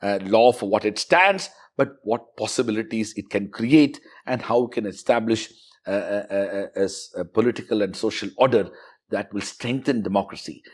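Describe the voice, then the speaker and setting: Low-voiced man, thoughtful interview storytelling. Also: normal speed. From South India. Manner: reflective, fluent with frequent "uh" fillers. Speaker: interviewee, university professor. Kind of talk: conversational interview.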